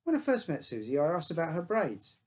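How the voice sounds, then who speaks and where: A man's voice: a little high, wildly swooping pitch, fairly quick, darkish tone. A man reading his own short story aloud as a first-person narrator, in simple childlike phrasing.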